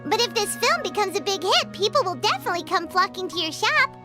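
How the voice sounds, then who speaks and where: A woman voicing a tiny, childlike, cheeky fairy companion in a video game, chatty and excitable. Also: very high voice, fast, music in the background. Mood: curious.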